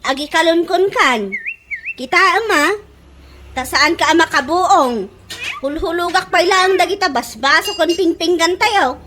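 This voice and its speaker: A woman's voice: extremely high pitch, lively intonation, measured pace, background noise. A woman playing a nagging, suspicious middle-aged village wife in an Ilocano comedy radio drama, whiny and scolding with a Filipino Ilocano accent.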